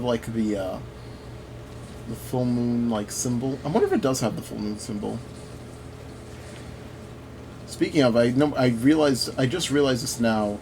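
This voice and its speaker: A man's voice: medium pitch, darkish tone, a little hiss or hum, poor audio. A man giving casual gameplay commentary, rambling and thinking aloud as he plays. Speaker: gaming video host.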